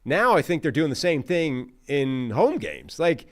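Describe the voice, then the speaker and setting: Animated man breaking down football. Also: measured pace. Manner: animated, emphatic, pitch jumping on key points; frequent fillers like "like" and "right?". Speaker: co-host. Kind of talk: casual sports analysis chat.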